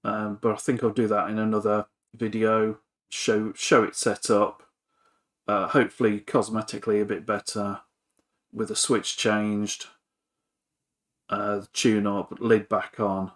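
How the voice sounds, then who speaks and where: A man's voice: lowish voice, level tone, unhurried. A man giving an informal, hesitant, rambling commentary on a repair at his workbench, with a self-effacing manner. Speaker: hobbyist electronics repairer and video presenter.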